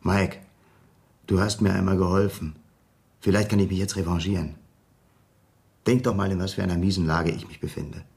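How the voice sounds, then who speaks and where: An actor in a German radio drama playing a debt-ridden gambler, a fired employee with a rueful, coaxing, conversational manner. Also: male voice, sits low, steady tone, normal speed, gravelly, long pauses, a little mellow.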